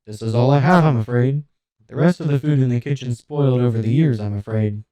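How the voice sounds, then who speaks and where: A courteous old robot butler, acted out by a man for a story reading. The voice is mid-pitched and soft-edged, very clean and smooth, in a dry close recording. It speaks with formal, apologetic politeness, like a lonely museum guide eager to serve. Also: brisk, no echo, lightly acted, low-quality recording.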